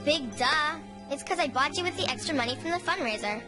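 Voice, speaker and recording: Young cartoon girl, sassy, stubborn, exclamatory, very animated intonation, music underneath. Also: sits high, fairly quick. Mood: sassy, indignant. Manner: exclamatory, bratty.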